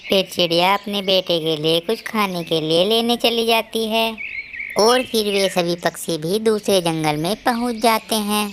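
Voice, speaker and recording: A woman's voice: mid-range voice, unhurried, slight background noise. A woman narrating a scripted children's moral story.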